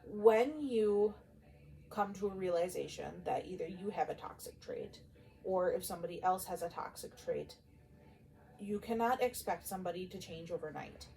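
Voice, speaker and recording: A woman's voice: lowish voice, moderate pace, poor audio. A woman co-hosting a podcast, giving advice in a casual, emphatic, bantering way. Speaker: podcast co-host. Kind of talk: casual conversational advice talk. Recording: clear steady background noise.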